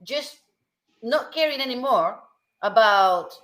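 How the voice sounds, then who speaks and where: A woman from Germany, co-hosting a casual discussion, speaking emphatically and slowing down to stress key words. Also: on the high side, expressive, a bit bright. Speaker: co-host. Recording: roomy video call audio.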